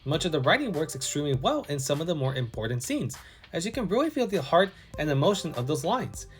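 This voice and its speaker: A man's voice: fairly high pitch, sing-song, fast. A man narrating a scripted video game review in an enthusiastic way. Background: background music.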